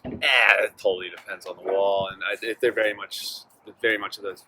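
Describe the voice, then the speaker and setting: A man's voice: on the low side, fairly even intonation, fairly quick, pushed and bright. A young man from Northern Virginia, a street artist, talking casually and candidly in an interview, rambling a little, swearing freely and acting out what other people said. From Northern Virginia, USA. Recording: noisy background ambience.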